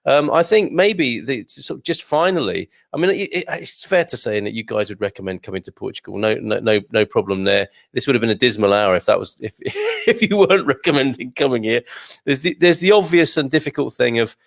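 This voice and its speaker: An upbeat male host with a gravelly voice at a middle pitch, quick with praise. The sound is dry and close, with no room around it. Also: very animated, no echo. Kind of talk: interview hosting.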